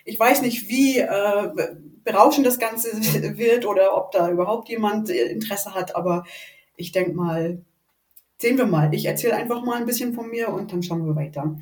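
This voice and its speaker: A northern German woman in her forties tells her personal story in a casual interview. She is chatty and searches for her words at a middling pitch. The room is echoey and she sits back from the microphone. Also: normal speed, darkish tone. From Rostock, northern Germany. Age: 40s (48). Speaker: podcast guest. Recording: echoey room, distant from the mic.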